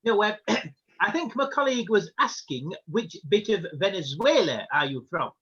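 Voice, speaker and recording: An actress playing a polite, deadpan amateur detective. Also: female voice, mid-range voice, normal speed, slightly rough, lo-fi sound. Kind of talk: stage comedy acting.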